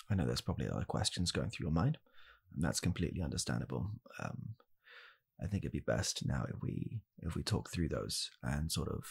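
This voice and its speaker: A young man playing a blunt, callous father in an ASMR comedy roleplay, speaking softly and haltingly in a deadpan way. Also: very deep voice, vocal fry, breathy edge, exaggerated voice. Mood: awkward, grave. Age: young adult. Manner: soft-spoken, halting, deadpan. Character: blunt, callous father calmly blaming his child. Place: small home room, indoors.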